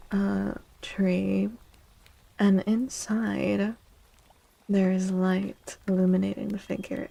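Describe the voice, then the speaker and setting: A woman livestreamer chatting and reading tarot in a soft-spoken ASMR style, playful, teasing and mock-dramatic. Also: medium pitch, creaky, poor audio.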